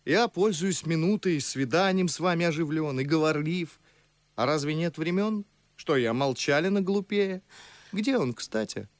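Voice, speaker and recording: An actor playing a witty, talkative, mocking young gentleman in an ardent, ironic verse radio drama. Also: on the high side, normal speed, dry recording, close to an everyday voice. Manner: ardent, ironic, theatrical declamation. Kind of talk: Russian classical verse radio drama acting.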